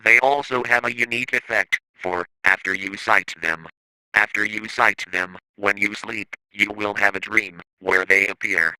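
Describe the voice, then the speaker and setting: A man delivers scripted analog horror narration with a very bright, forceful edge. His voice is crisp and clear, at a medium pitch. The delivery is formal and matter-of-fact, as in an instructional briefing. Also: fairly even intonation, measured pace, no echo, poor audio.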